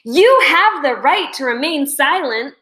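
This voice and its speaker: A woman's voice: high voice, animated, unhurried, bright, forceful tone, echoey room, pitched above the performer's own voice, full character voice. A woman voicing a defiant fifth-grade boy in a children's story, with a stubborn manner. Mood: angry, defiant.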